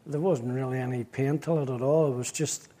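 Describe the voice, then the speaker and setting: A man's voice: mid-range voice, fairly even intonation, warm tone. Wry Belfast man telling his story. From Northern Ireland. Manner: wry, joking, halting restarts. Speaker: pastor. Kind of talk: interview storytelling.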